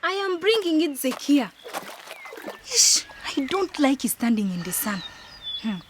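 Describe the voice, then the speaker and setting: An actress playing a timid, dreamy young village wife from South Sudan in a radio drama, earnest in manner. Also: female voice, sits high, very animated, a little slow, background noise. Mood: homesick. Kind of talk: radio drama narration and acted dialogue.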